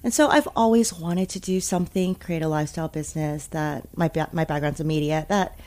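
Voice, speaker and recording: A woman born in Taiwan and raised in America, talking casually as an interview guest, thoughtful with many pauses and restarts. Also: sits low, steady tone, a little mellow. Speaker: interview guest, food media founder.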